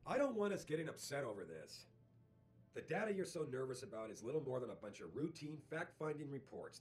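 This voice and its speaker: An actor playing an authoritative, evasive company CEO in a video game, persuasive and in command. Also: male voice, a little high, darkish tone. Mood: placating, pressing.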